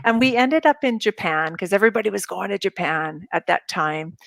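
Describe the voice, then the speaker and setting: A woman's voice: medium pitch, a little fast, gravelly, a bit bright, no room sound. A woman in her forties from Canada with a Canadian accent, chatting as a podcast guest and laughing through her stories. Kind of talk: casual interview chat.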